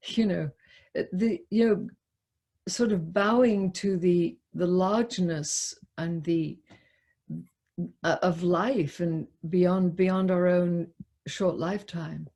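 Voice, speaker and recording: Slow-paced woman leading a discussion. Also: lowish voice, mellow. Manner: hesitant, searching for words. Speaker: discussion leader. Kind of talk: unscripted literary discussion.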